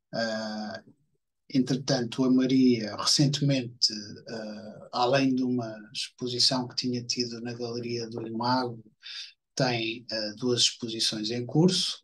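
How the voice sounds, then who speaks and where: Man, husky voice, interview host, halting with lots of fillers. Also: mid-range voice, a bit bright, low-quality recording. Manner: hesitant, many fillers. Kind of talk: hosted conversation.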